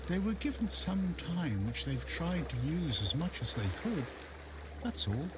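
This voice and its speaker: An actor playing a wistful, philosophical old hermit by the sea in a fantasy video game. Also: male voice, on the high side, big pitch swings, background noise. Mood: gently sad. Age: older. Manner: slow, musing, philosophical. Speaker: non-player character in a fantasy RPG. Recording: water ambience behind the voice.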